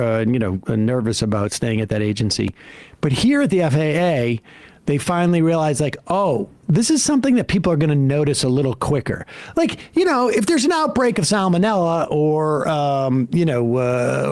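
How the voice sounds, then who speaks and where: Older american guy hosting a political talk show, wry and halting, pitch jumps around a lot, dry close sound. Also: darkish tone, no echo. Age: late 50s or older. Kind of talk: casual political commentary.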